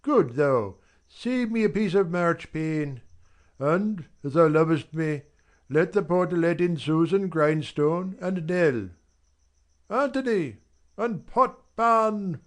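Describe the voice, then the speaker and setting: An actor playing a bossy, harried servant in theatrical Shakespearean style, calling out orders emphatically. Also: male voice, fairly high pitch, animated, slow.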